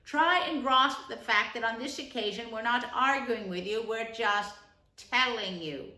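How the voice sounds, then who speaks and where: A woman's voice: fairly high pitch, a little slow, bright, forceful tone. A woman voicing a firm, kindly male water rat who speaks in an earnest way. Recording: roomy echo.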